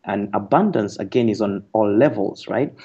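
Low-voiced man from Kenya, muffled line. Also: moderate pace, dark tone, slightly rough. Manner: thoughtful, explanatory, frequent fillers and restarts, occasional laughs. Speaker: author guest. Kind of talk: radio interview conversation.

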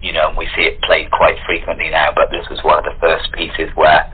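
A man with a husky voice talking casually and reflectively as an interview guest. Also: extremely low pitch, monotone, brisk. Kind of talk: casual discussion. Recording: tinny phone-line sound.

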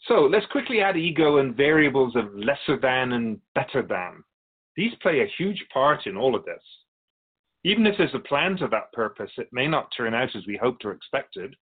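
A man playing a calm otherworldly spirit who speaks in a measured, formal, instructive way in a radio drama. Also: mid-range voice, slightly rough, phone-quality sound. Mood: solemn, explanatory. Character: calm otherworldly male soul keeper, earnest storytelling spirit. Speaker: interviewee.

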